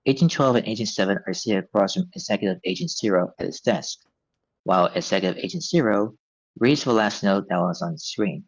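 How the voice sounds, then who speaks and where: Low guy narrating stage directions, dry, bit muffled. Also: animated, brisk. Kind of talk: scripted narration at a live table read.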